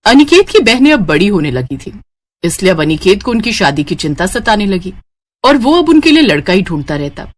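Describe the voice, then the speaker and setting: A woman's voice: medium pitch, very animated, normal speed. Female narrator for scripted family tales, middle-register voice.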